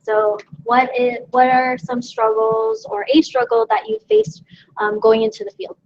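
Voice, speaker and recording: Brief: woman moderator, high and bouncy voice with some vocal fry, slightly muffled mic. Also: very animated, unhurried. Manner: upbeat, hesitant phrasing with many ums. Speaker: panel moderator. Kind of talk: moderating a student Q&A. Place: college presentation event. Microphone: handheld mic.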